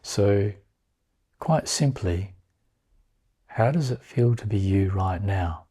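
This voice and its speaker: A man with a low, mellow voice leads a guided meditation. He speaks very slowly and calmly in short phrases, leaving long pauses between them. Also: husky.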